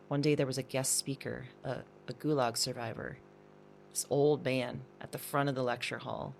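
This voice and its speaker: Shaken woman recording a confessional diary, audio drama, creaky voice, halting, bit of hum and hiss. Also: extremely low pitch, exaggerated voice. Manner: hesitant, reflective, broken phrasing. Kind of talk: intimate audio drama monologue.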